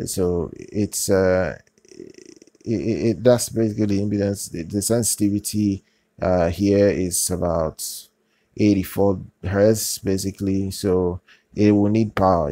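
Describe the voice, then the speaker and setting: A man hosting his own channel, giving casual product news in a halting way, with many fillers, restarts and repeated phrases. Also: low-pitched, warm tone, no echo. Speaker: channel host.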